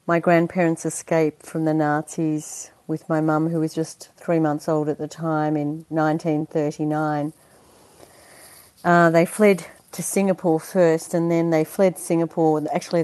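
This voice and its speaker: Low, mellow-voiced woman. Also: dry recording. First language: Dutch. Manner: chatty and earnest, laughs easily, many fillers. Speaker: interview guest, performer. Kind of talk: conversational interview.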